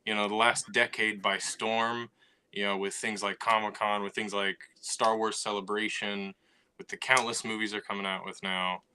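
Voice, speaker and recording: Male co-host, low pitch, bright and forceful tone, steady explainer. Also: slight background noise. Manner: measured, explanatory, filler "you know". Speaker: podcast co-host, writer. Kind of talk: casual chat.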